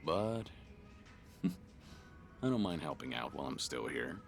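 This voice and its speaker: An actor voicing a stoic, gruff middle-aged tough guy in a video game, terse, reserved and deadpan. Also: a bass voice, measured pace, soft background music, full character voice. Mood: wary. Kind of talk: English video game voice acting.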